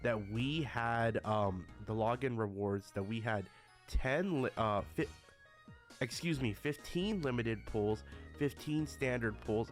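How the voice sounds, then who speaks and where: Slightly sick male gaming YouTuber. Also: mid-range voice. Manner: addresses viewers often as guys. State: a little sick. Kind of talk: casual video commentary.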